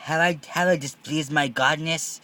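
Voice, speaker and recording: A man's voice: a little high, fairly even intonation, normal speed, bright, forceful tone, no room sound. A man voicing an odd, over-devoted nerdy boy who pleads grandiosely in a storybook read-along. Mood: pleading.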